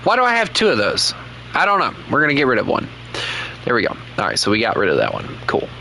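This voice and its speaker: A man's voice: mid-range voice, fast, slight background noise, low-quality recording. A man with a Southern US accent, casually commenting to viewers while playing a truck game. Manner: relaxed, chatty, talks to viewers as he plays. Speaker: game streamer.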